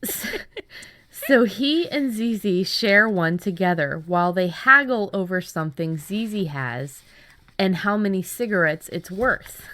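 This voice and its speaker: A woman podcast host chatting casually about writing, telling things in an animated way with chuckles. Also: medium pitch, unhurried, no room sound. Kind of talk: casual chat about story ideas.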